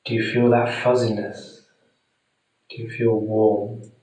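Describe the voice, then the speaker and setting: A man guiding a meditation in a soothing, deliberate manner with long pauses, speaking gently to the listener. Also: fairly low pitch, flat delivery. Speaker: meditation guide. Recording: very echoey room, distant mic.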